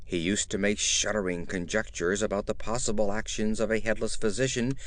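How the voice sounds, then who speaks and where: A man narrating a horror story as a dramatic audiobook, in a measured, literary storytelling manner. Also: lowish voice, steady tone, fairly bright tone, dry recording.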